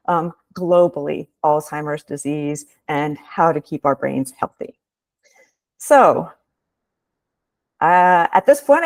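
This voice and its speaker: A woman researcher giving a measured, explanatory webinar presentation over a video call. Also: on the low side, dry recording.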